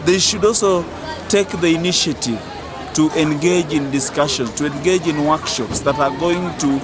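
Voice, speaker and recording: A man with a Kenyan accent giving earnest, deliberate interview answers as a youth organizer, often repeating phrases. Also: a little high. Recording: loud music and crowd behind.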